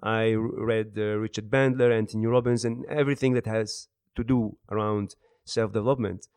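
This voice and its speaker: Smooth-voiced man in his thirties, halting delivery. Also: fairly low pitch, steady tone, a little mellow, no room sound, clean studio sound. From Iraq and the Netherlands. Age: 30s. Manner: halting, searching for words. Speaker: interview guest, author and business speaker. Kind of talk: conversational interview answers.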